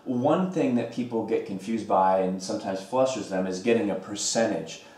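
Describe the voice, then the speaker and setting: A young man with a slightly low voice runs the show with polished, upbeat delivery. He is recorded in a home kitchen with a camera mic a few feet away, so the room rings around him. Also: normal speed, darkish tone. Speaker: host. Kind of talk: scripted show hosting. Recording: roomy kitchen sound, mic at a distance.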